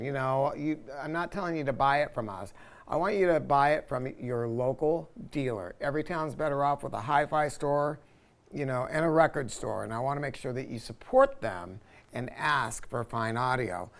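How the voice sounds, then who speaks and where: An American man in his sixties hosting a product discussion, speaking in an emphatic, opinionated and enthusiastic way. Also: medium pitch. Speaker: host, audio dealer. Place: indoor audio showroom.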